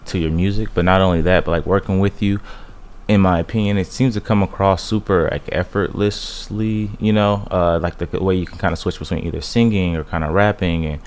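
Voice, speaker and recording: A man's voice: deep voice, fairly even intonation, fairly quick, a little mellow. A man hosting a podcast, asking long rambling questions full of "like" in a casual way. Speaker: podcast host.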